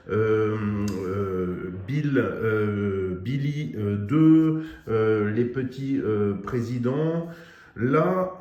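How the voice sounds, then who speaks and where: A man's voice: mid-range voice, a little mellow, roomy sound, a little hiss or hum. A man giving a casual product review of a radio, with lots of fillers and restarts. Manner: hesitant, many fillers and restarts.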